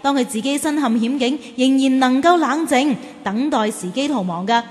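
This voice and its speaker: A woman playing a patient class teacher, gently chiding and explaining things in a children's radio drama. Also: high-pitched, moderate pace, husky, no echo, clean studio sound, soft background music. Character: patient young woman class teacher guiding cartoon calves.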